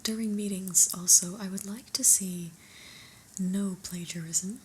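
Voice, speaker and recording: A woman whispering an ASMR roleplay as an unimpressed new office boss, deliberate, mildly stern and deadpan, in a breathy voice. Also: medium pitch, slight background noise.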